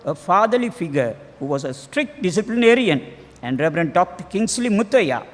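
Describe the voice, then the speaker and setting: High-voiced older Sri Lankan man, echoing hall. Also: big pitch swings, moderate pace. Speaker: clergyman reading a tribute. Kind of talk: formal read-aloud biographical tribute. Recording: long hall reverb.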